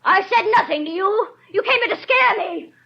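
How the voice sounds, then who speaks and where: An actress playing a frightened, destitute young mother in a 1930s radio drama, pleading and halting as she speaks. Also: extremely high pitch, expressive, vocal fry, heavily acted. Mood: frightened, defiant. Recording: dull 1930s radio recording.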